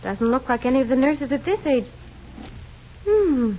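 An actress playing a polite, genteel agency manager in an old radio drama, measured and thoughtful. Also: female voice, sits high, sing-song, warm tone. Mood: polite, pondering. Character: brisk, polite businesswoman running a nurses' agency. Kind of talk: 1940s-50s radio acting. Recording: muffled, hissy old radio recording.

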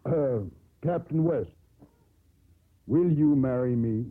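Radio drama sea captain: formal, tender, slow delivery, dry and narrow 1950s broadcast sound. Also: male voice, medium pitch, no echo. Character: proud, courtly sea captain proposing to his love.

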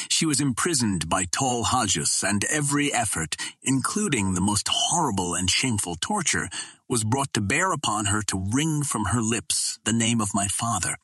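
A man reads an audiobook in a measured, steady way. His voice sits low and has a husky, slightly breathy texture. The sound is dry and close, with no room around it. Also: no echo. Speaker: audiobook narrator. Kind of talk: scripted audiobook narration.

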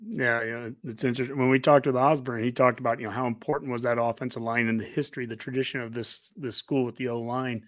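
A man's voice: mid-range voice, steady tone, quick pace, few pauses, fairly bright tone, dry recording. An American man hosting a podcast in a casual sports interview chat. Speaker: podcast host.

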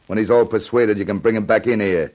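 An actor playing a cool, tough gangster boss in a 1950s radio crime drama, terse, skeptical and slangy. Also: male voice, on the low side, a little fast, darkish tone, natural voice. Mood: cool menace.

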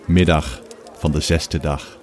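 A man's voice: rumbling low, level tone, takes it slowly, background noise. A man reading scripted narration as the narrator of an audio play. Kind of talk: scripted narration of game events and stage directions.

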